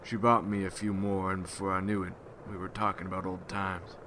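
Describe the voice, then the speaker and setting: Guy doing a weary folksy vampire hunter for asmr, low flat voice, halting and somber, noisy ambience behind. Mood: grave. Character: weary, haunted rural American Civil War veteran turned vampire hunter. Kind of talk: ASMR roleplay monologue.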